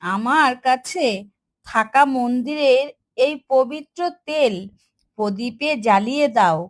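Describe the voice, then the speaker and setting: High-voiced wise village daughter played by a woman. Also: slow. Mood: urgent, confident. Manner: earnest, instructing. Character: wise, pious young daughter of a woodcutter. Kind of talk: cartoon fairy-tale dialogue.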